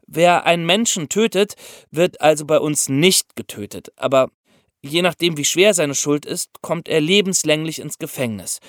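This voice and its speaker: A man's voice: a little high, normal speed, no echo, clean studio sound. A man co-hosting an explanatory podcast, teaching and addressing the listeners directly. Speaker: podcast co-host.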